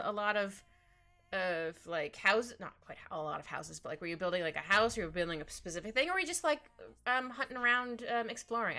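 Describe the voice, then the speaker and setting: A woman's voice: medium pitch, sharp tone. A woman chatting casually on an art livestream, bubbly and giggly, rambling through asides with a slightly stuffy nose. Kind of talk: casual livestream chat while drawing. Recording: music behind the voice. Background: background music.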